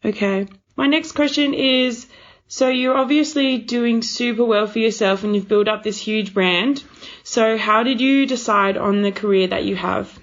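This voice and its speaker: A woman's voice: a little high, measured pace. A young woman interviewing casually, affirming her guest in an informal, conversational way. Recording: dull, lo-fi audio.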